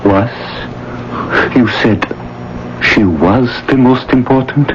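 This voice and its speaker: An actor playing a hesitant, solicitous insurance dealer in a radio drama, speaking with delicate tact. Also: male voice, mid-range voice, close to an everyday voice. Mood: gentle, puzzled. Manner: deliberate, delicately tactful. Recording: muffled, noisy old radio broadcast.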